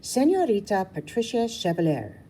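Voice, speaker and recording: Elegant female spa manager, acted by a woman reader, formal and over-friendly, animated, mellow storybook delivery. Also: mid-range voice, moderate pace, a little hiss or hum, voice pushed up, close to an everyday voice. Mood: cool, formal.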